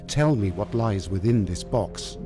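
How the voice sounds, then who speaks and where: A man's voice: fairly low pitch, animated, unhurried. Male narrator as young male king, storybook tale, impatient, imperious, demanding, music underneath. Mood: furious, threatening. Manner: insistent, imperious.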